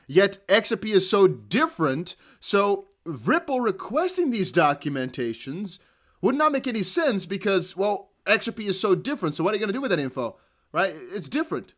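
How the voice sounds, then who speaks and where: Male streamer with a Dutch accent, slightly high voice, excitable live commentary, laughs often. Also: normal speed. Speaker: crypto news streamer. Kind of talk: live commentary on a court hearing.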